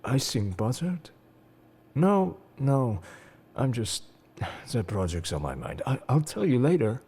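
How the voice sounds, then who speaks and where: Man acting a husky, suave, flirtatious agent. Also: mid-range voice, big pitch swings, slow, dark tone, full character voice. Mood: suave, confiding. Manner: smooth-talking, coaxing, theatrical. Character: suave enigmatic male secret agent, flirtatious, quietly world-weary. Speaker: voice actor in fan audio roleplay. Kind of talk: intimate scripted roleplay addressed to the listener.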